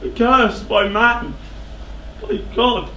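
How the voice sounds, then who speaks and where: Guilt-racked king for stage tragedy, male actor: high voice, halting, overwrought delivery, faint noise behind. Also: animated, pushed and bright, full character voice. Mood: anguished confession. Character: anguished king, haunted by guilt and dread. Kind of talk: classical tragedy stage acting. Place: indoor theatre stage. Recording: echoey theatre stage, clear background noise.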